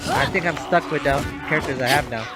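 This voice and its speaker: A woman's voice: deep voice, quick pace. A woman streaming a game, giving casual, chatty gameplay commentary. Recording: loud game music over voice.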